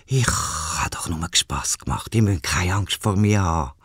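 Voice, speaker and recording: A Swiss German man voicing a proud male condor with a soft, hoarse voice that drops low and often sinks into a whisper. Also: dry recording, studio quality, heavily acted. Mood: boastful. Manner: slow and grand, slips into whispers. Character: proud, boastful male condor, huge and gentle.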